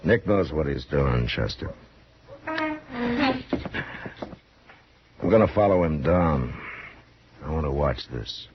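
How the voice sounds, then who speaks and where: An actor playing a steady, weary frontier marshal in a 1950s radio western, plain-spoken and dryly understated. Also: male voice, rumbling low, takes it slowly, long pauses, full character voice. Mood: grave. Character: weary, steady Old West town marshal with dry humour. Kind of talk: 1950s radio western acting and first-person narration. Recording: muffled old radio recording, loud music.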